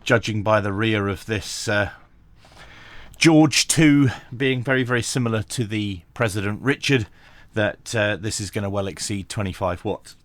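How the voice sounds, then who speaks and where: A man giving a hands-on tech tutorial, talking through each step methodically with pauses and dry asides. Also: on the low side, dry recording. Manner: methodical, thinking aloud with frequent pauses. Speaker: radio reviewer.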